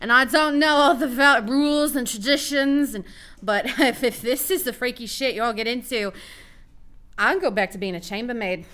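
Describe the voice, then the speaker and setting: A woman's voice: a little high, moderate pace, a bit bright, clean tone, natural voice. A woman playing a blunt, exasperated chambermaid turned princess in a comedic radio play, with casual modern phrasing.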